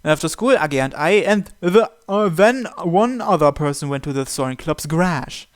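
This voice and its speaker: A game narrator translating and reading visual novel text aloud, with some hesitant pauses. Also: medium pitch, animated, no room sound, clean studio sound. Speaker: video game playthrough narrator. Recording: game music behind the voice. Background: visual novel music and Japanese voice acting.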